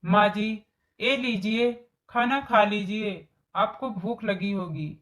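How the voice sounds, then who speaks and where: A woman voicing a kind, dutiful young daughter-in-law in a storybook tale, gentle and respectful. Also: medium pitch, steady tone, slow, poor audio. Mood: caring.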